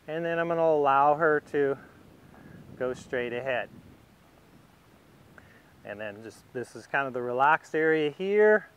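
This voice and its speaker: A man giving a calm, patient, step-by-step riding lesson, narrating as he rides. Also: medium pitch, smooth, lo-fi sound. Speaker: riding instructor. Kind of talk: instructional demonstration narrated while riding.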